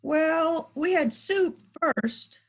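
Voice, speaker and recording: A woman's voice: very high-pitched, animated, extremely slow, exaggerated voice. A woman playing a polite, composed housewife with a secret in an amateur readers theater rehearsal, speaking in a mannered way. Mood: innocent. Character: polite, outwardly innocent housewife, secretly a murderer.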